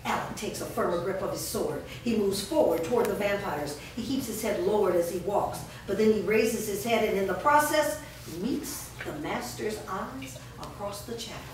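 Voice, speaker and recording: A woman's voice: mid-range voice, animated, normal speed, hardly any pauses. Female narrator for a staged play reading, reading directions, heard far off in a noisy, echoing room. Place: large bare indoor room, staged reading before a small audience. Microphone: distant camera.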